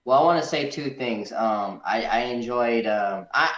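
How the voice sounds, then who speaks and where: A man's voice: medium pitch, normal speed, a bit bright. A man in a casual film discussion, animatedly acting out imagined remarks as he reviews. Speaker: guest co-reviewer on a movie discussion. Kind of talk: casual chat. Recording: echoey room, dull lo-fi sound.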